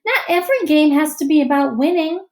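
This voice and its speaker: A woman's voice: high voice, expressive, a little fast, darkish tone, voice pushed up. A woman doing a patient, firm teacher. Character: patient adult female teacher.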